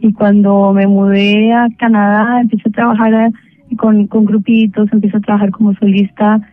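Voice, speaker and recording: A woman's voice: mid-range voice, flat delivery, normal speed. Colombian woman on a tinny phone line. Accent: Colombian, Bogotá. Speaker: guest singer. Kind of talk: phone interview.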